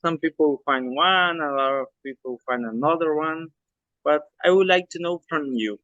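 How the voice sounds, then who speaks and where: A man interviewing an author, speaking slowly and earnestly and addressing the viewers directly. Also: on the high side, fairly bright tone, no room sound, dull audio. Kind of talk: interview questions.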